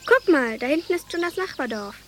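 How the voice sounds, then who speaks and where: A woman's voice: sits high, lively intonation, a little fast, fairly bright tone, a little hiss or hum. An actress playing a plucky, responsible young village girl in a radio drama, speaking earnestly and insistently to protect her sister. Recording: studio radio drama with music underneath.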